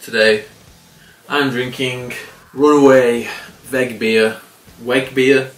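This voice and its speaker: A man in his thirties casually reviewing a beer to camera, relaxed and swearing freely with frequent chuckles. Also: mid-range voice, takes it slowly. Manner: laid-back, swears casually, chuckles often. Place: small indoor room by window blinds. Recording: roomy and slightly distant.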